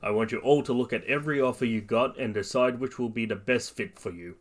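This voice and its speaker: A man voicing a stern, weary teacher of young heroes in a narrated story, with a measured, authoritative manner. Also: mid-range voice, natural voice.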